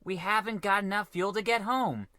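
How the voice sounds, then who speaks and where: Guy as a fretful space crewman, high bright voice, earnest underling, radio comedy. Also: moderate pace, no room sound, studio quality. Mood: worried, practical. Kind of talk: old-time radio comedy acting.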